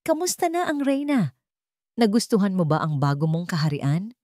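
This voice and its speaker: A woman's voice: fairly low pitch, big pitch swings, normal speed, a little mellow, no echo. Woman acting vengeful, taunting Filipino woman, dry recording. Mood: menacing taunting. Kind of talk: dramatic storytelling dialogue.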